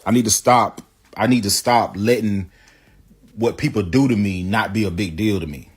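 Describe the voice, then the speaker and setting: Husky-voiced man telling a storytime. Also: fairly low pitch, normal speed. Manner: emphatic, defensive, talks to viewers directly. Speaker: storytime vlogger. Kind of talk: casual storytime to camera.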